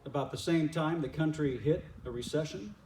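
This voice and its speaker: A man playing a loving, forgiving father who longs for his son in a church reader's theater skit, speaking deliberately and with heartfelt emphasis. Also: a little high, a little mellow, natural voice. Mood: aching, steadfast. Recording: echoey room, distant mic, noisy.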